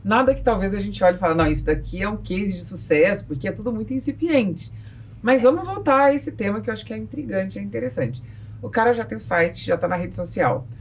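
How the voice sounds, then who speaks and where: A woman's voice: mid-range voice, lively intonation, fast. A woman with a Brazilian accent hosting a conversational podcast discussion. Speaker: podcast host. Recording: distant mic, faint background noise.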